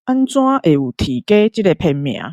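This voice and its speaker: A woman's voice: mid-range voice, big pitch swings, normal speed, a little mellow, dry recording, studio quality, close to an everyday voice. A woman with a Taiwanese accent playing a young adventurer and would-be strategist in an audio drama. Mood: curious. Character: young adventurer, self-styled strategist of the travelling trio.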